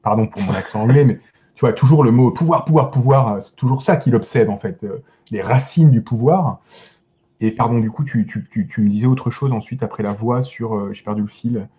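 Slightly muffled recording, guy with a mellow voice chatting on a podcast, keeps hesitating n repeating words. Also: mid-range voice, brisk. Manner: hesitant, searching for words, repeating word starts. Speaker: guest film critic. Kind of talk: casual podcast discussion.

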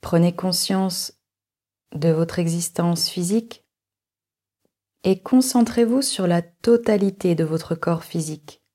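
Very slow, mellow woman guiding relaxation. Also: fairly low pitch. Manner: calm, measured instructions with long pauses. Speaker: yoga nidra instructor. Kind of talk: guided relaxation meditation.

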